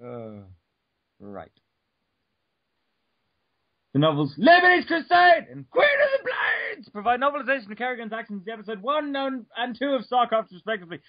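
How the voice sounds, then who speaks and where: A man's voice: extremely high pitch, huge pitch swings, moderate pace, long pauses, no room sound. A man giving an over-the-top dramatic reading of an article, stumbling over words and breaking off for joking asides, on a dull lo-fi home recording. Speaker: reader. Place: room at home.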